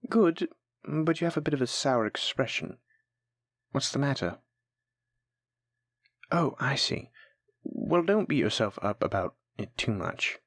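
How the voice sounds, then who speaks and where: A voice actor plays a patient, kindly alchemy teacher from a fantasy audio roleplay. The voice is breathy and moves expressively up and down, recorded close and dry. The teaching is gentle and encouraging, aimed at a nervous beginner. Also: mid-range voice, dry recording. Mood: sympathetic, reassuring. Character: kind, patient alchemy teacher guiding a nervous new apprentice. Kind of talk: soft-spoken scripted fantasy audio roleplay.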